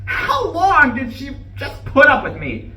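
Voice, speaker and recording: A man's voice: extremely high pitch, wildly swooping pitch, a little slow, sharp tone, full character voice. An actor in a live play reading, playing an anguished, regretful man who stammers and repeats himself. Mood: bitter, self-reproaching. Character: anguished, panicking man full of regret over lost love. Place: room at a live campus reading. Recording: roomy, distant live recording, faint noise.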